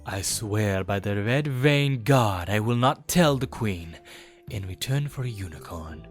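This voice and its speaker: A man voicing a sly, mercenary wyvern guide in an animated fantasy, with formal, deliberate phrasing. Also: lowish voice, faint music behind. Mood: brisk, formal. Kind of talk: animated fantasy drama acting.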